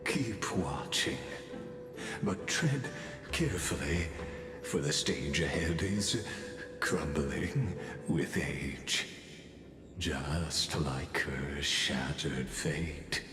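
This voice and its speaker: An ominous, theatrical storyteller intones myth in a video game. His voice is extremely deep and drawn out very slowly, grave and portentous. It rings in long reverb over music. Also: lively intonation, full character voice. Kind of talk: dramatic scripted game narration.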